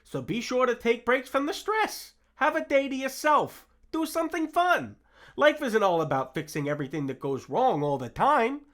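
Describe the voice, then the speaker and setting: A man voicing a fast-talking, wisecracking meerkat sidekick with a nasal, brash voice, giving streetwise comfort in an audio roleplay. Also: very high voice, big pitch swings, a bit bright, heavily acted. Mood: reassuring. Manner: wry, pep-talking, streetwise. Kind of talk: comforting character roleplay with impressions.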